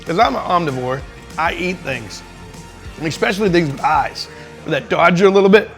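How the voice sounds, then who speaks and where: A man's voice: fairly high pitch, expressive, moderate pace, over music. A man with a Boston accent hosting a casual travel and food vlog, chatting in a rambling, enthusiastic way. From Boston area. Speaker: travel show host.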